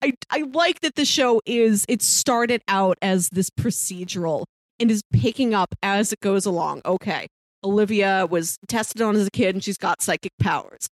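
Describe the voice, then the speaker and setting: A woman's voice: medium pitch, normal speed, no room sound, poor audio. A woman co-hosting a podcast, discussing TV casually and expressively, acting out imagined quotes as she goes. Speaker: podcast co-host.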